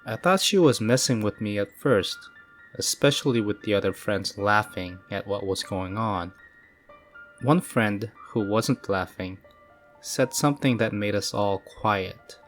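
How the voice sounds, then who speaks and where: A man giving calm, measured, scripted narration of creepy first-person stories. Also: lowish voice. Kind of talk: scripted horror story narration. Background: faint eerie music.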